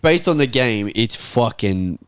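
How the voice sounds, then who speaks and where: A man debates football casually with fellow fans. His delivery is blunt and peppered with swearing, in a voice of middling pitch, recorded close and dry. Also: lively intonation, unhurried, clear, resonant tone, no echo. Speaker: panelist on a football fan show.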